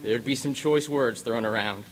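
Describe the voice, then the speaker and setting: A man's voice: mid-range voice, moderate pace, lightly acted, low-quality recording. A man playing a proud, stubborn, wry pegasus general in a radio play. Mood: wry, resigned. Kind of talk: radio drama acting. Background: music bed.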